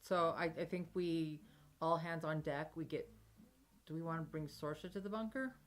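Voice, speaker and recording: A woman with a notably low voice takes part in a tabletop game as a player. Her delivery is casual and conversational as she works through plans with the group. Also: moderate pace.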